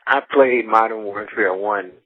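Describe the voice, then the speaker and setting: A man's voice: fairly low pitch, measured pace. A man leaving a rambling voicemail, stammering and repeating words, saying "you know" often, on an old answering machine tape. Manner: repeats words when excited, says "you know" a lot. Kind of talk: rambling phone message. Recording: muffled phone answering machine.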